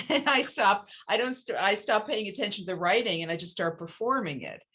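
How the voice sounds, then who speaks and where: A woman interviewing an author in casual conversation, talking quickly and joking at her own expense. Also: mid-range voice, expressive, pushed and bright, breathy edge. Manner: quick, self-deprecating, with laughs.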